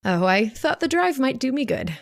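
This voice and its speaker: A woman acting a sly, genteel, scheming sister in a radio drama, speaking in a deliberate, confiding way. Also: sits high, sing-song, gravelly, no room sound, clean studio sound, full character voice. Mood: feigned frailty. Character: sly, scheming sister feigning frail worry.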